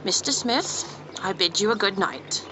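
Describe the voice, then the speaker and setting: Casting: actress as motherly, courteous Victorian housekeeper, expressive mid-pitched delivery, noisy ambience behind. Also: a little slow, lightly acted. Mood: kindly, polite. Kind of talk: radio drama acting.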